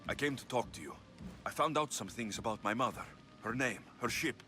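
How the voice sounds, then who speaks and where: An actor playing a battle-hardened young warrior in a game cutscene, earnest, blunt and direct. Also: male voice, medium pitch, expressive, a little fast, natural voice. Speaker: video game character actor. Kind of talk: dramatic game cutscene acting. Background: background music.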